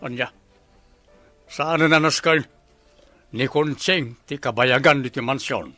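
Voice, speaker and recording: A man's voice: mid-range voice, takes it slowly, sharp tone, faint music behind. A man playing an exasperated but reasonable middle-aged husband in a radio drama, reproachful, with a Filipino accent.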